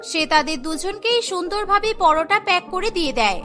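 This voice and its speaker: A woman's voice: extremely high pitch, lively intonation, fairly quick, a bit bright. A woman narrating a scripted cartoon story. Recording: music behind the voice. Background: music.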